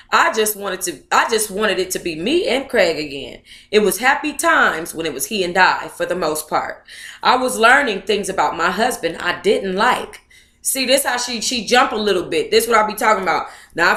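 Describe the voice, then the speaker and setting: A woman's voice: a little high, pushed and bright, reverberant. A woman in her thirties reading aloud casually and breaking in with blunt, sassy, profane commentary. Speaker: book club host reading aloud and commenting.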